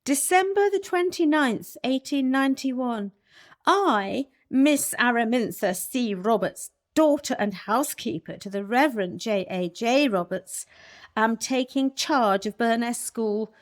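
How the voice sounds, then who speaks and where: Woman doing a prim disapproving victorian schoolmistress, kinda high voice, very proper. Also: expressive, unhurried. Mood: indignant. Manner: formal, indignant, disapproving. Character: prim Victorian vicar's daughter running a village school. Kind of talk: period radio play acting.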